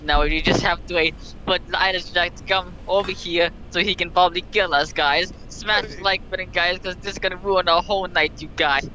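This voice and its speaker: A man's voice: high-pitched, steady tone, a little fast, sharp tone, a little hiss or hum, low-quality recording. A man voicing a panicky, whiny prank vlogger who begs viewers for likes in a parody challenge video. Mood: nervous hype. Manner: whiny, repeatedly addresses viewers as guys.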